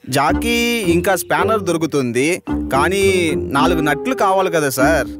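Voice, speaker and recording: A man's voice: a little high, lively intonation, normal speed, natural voice. A man voicing a fussy, easily panicked driver in a dubbed cartoon, always calling his boss sir. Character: jumpy talkative male driver. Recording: music bed under dialogue.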